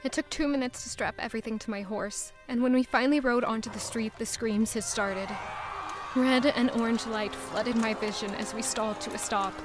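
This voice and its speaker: A woman's voice: fairly high pitch, steady tone, normal speed, over music, close to an everyday voice. An actress playing a haunted, bitter young woman who narrates her own story in an audio drama with dramatic intensity. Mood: somber.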